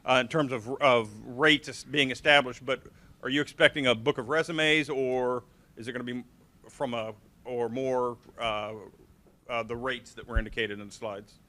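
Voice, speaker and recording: A man in the audience asking questions at an industry briefing, halting with many restarts and fillers. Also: medium pitch, steady tone, pushed and bright.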